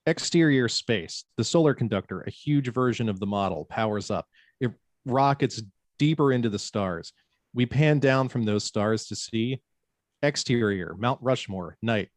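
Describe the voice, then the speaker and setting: Male narrator, mid-pitched, reading screenplay scene descriptions in a close, dry recording. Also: expressive, unhurried, no echo. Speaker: stage-direction narrator. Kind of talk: scripted narration at a table read.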